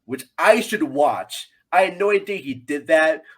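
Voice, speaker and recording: A man's voice: a little high, lively intonation, measured pace, a bit bright. A man chatting casually about films as a podcast guest, rambling and enthusiastic. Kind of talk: casual film discussion.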